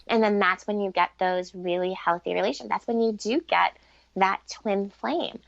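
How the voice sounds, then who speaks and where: Woman with creaky voice, muffled recording. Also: medium pitch, moderate pace, no room sound. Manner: earnest, fluent, long explanations. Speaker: guest relationship expert and author. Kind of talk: conversational explanatory interview answers.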